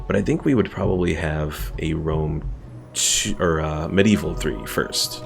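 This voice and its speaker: A man's voice: a bass voice, a little slow, vocal fry, over music. A man casually chatting with viewers while streaming a strategy game, laid-back and rambling. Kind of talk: casual live stream commentary.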